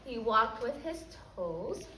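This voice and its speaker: A woman with a high, bright voice speaks slowly, as a preschool teacher does with little children. Her phrasing is simple and repetitive. She is recorded in an echoing classroom. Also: big pitch swings. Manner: prompting with simple repeated questions and phrases. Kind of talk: teaching young children, question-led lesson and picture book read-aloud. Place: indoor classroom. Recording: roomy, distant mic, faint background noise.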